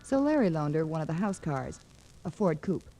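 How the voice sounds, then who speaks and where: Low, mellow-voiced flirtatious socialite. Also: female voice, sing-song, normal speed. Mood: curious, earnest. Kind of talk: 1940s radio drama acting. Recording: noisy vintage 1940s radio recording.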